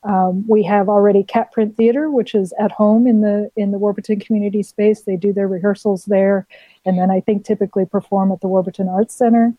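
A woman's voice: medium pitch, fairly even intonation, brisk, dry recording, highs cut off. A woman with a foreign accent, a community cafe committee member being interviewed on radio, explaining in a friendly way with many fillers. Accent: non-Australian, foreign.

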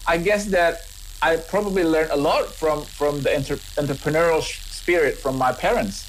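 A man's voice: on the high side, normal speed, a little hiss or hum, low-quality recording. A man in his thirties with an Indonesian accent, a scientist answering interview questions earnestly, often repeating and restarting words. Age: 30s. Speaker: scientist and business owner.

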